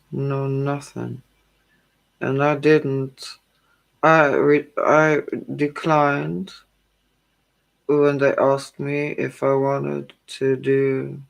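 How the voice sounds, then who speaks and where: A tired woman hosting a tarot livestream, chatting casually with her viewers in a slow, drowsy, calming way. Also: very deep voice, gravelly, rough recording.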